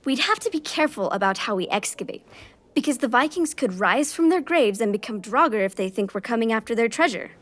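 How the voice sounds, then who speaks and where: A woman's voice: fairly high pitch, expressive, fairly bright tone. An actress voicing an earnest, nerdy teenage girl who loves Viking history, talking eagerly in an audio drama. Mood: excited. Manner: eager, explains things quickly. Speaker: actor.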